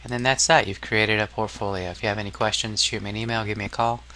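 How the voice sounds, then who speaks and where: A man narrating a casual software tutorial as an instructor, explaining step by step in an informal, somewhat hesitant way. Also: on the low side, flat delivery, fast talker, slight background noise.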